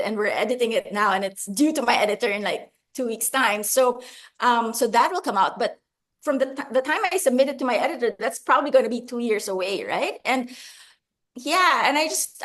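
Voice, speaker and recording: A woman's voice: a little high, fairly bright tone. A woman from the Philippines, an author answering interview questions in a chatty, laughing, self-deprecating way over a video call. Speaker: author guest.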